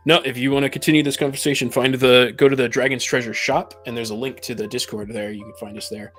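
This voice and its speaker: A man's voice: mid-range voice, fairly even intonation, quick pace, a bit bright, faint music behind. A man co-hosting a casual group podcast chat, explaining and giving advice with dry teasing. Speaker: podcast co-host.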